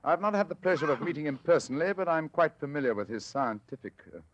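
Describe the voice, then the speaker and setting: An actor playing a brilliant, aloof English detective in 1940s radio drama, crisp, theatrical and dryly ironic. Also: male voice, fairly high pitch, expressive, quick pace, dry recording. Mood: measured. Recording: dull vintage radio recording.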